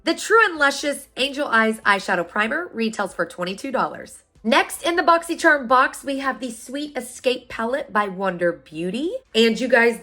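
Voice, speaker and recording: A woman in her thirties talks brightly to her viewers, her fairly high voice roughened and stuffed up by a sinus infection. She keeps an upbeat, chatty vlog manner, with soft music faint underneath. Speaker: beauty vlogger. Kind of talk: product unboxing review.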